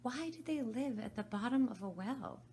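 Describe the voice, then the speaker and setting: A woman's voice: on the high side, animated, normal speed, dark tone, slight background noise, pitched above the performer's own voice. A woman voicing a polite, curious young girl who is easily offended. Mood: indignant.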